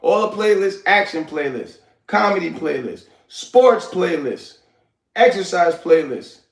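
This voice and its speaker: A man, hosting a casual livestream, giving hyped shout-outs to viewers and rambling in streetwise slang. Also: high-pitched, very animated, unhurried, vocal fry. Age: 40s. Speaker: livestream host. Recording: echoey room.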